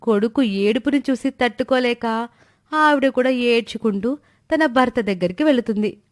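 A woman's voice: a little high, normal speed, no room sound, clean studio sound. A woman narrator reading a scripted moral story in a storytelling style.

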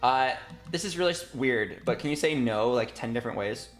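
Man, twenties, casual streamer, giving directions, conversational, mid-pitched, faint music behind. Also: lively intonation, a little fast. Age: 20s. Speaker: streamer directing a voice recording session. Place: indoor streaming room.

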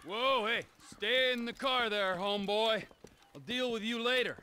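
An actor playing a brash, bossy traffic cop in a video game, with a commanding, folksy manner. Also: male voice, very high voice, moderate pace, sharp tone. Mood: stern, mocking. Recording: outdoor vehicle noise behind.

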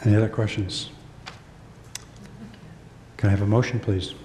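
Flat-voiced older man chairing a meeting. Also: on the low side, moderate pace. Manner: plain, questioning. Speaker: board chair. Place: council chamber. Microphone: desk mic. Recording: echoey hall with faint noise.

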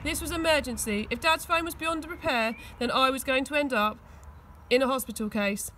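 A woman's voice: sits high, moderate pace, fairly bright tone. A woman reading a children's story aloud expressively, recorded outdoors. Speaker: school teaching assistant reading aloud. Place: outdoors on grass.

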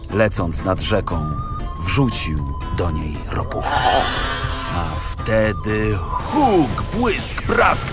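A man with a deep voice narrates a fairy tale. His delivery is slow and dramatic, with lively swings in pitch, like scripted storytelling for a cartoon. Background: music.

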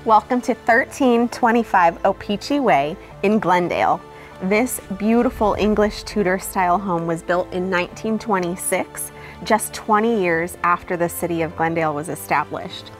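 A woman's voice: lowish voice, moderate pace. Upbeat woman real estate agent, echoey. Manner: enthusiastic. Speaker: real estate agent presenting a home tour. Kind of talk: upbeat promotional presentation to camera. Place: house porch and empty wood-panelled rooms. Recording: roomy echo, music underneath. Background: background music.

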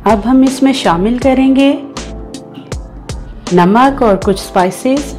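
Slow, high-voiced Pakistani cooking host. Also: female voice, darkish tone. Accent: Pakistani Urdu. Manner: patient instructive, enthusiastic about the dish. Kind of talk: step-by-step recipe voice-over. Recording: voice-over with faint background music.

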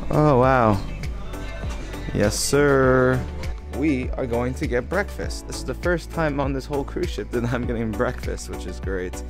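A man's voice: mid-range voice, lively intonation, unhurried. Chatty young man vlogging a buffet. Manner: upbeat, chatty, reads signs aloud. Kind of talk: casual travel vlog narration. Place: indoor buffet restaurant on a cruise ship. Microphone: handheld camera at arm's length. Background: background music playing.

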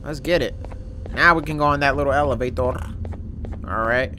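A man in his twenties giving casual, slangy, jokey gaming commentary with some swearing and excitable reactions. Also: medium pitch, moderate pace. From Dominican background. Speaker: gaming video host. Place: indoor room, gaming setup. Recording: noticeable background game noise.

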